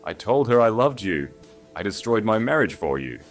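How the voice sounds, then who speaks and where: A man's voice: on the low side, very animated, moderate pace, soft background music, voice pushed up. A man voicing a betrayed young earl, pleading and anguished, in a dramatic audiobook romance.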